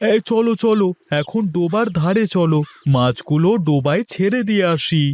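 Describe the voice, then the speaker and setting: A man puts on a friendly village fish trader for a kids' cartoon. The voice sits high and moves in lively swings, brisk and sociable. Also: no room sound. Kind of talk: children's cartoon acting.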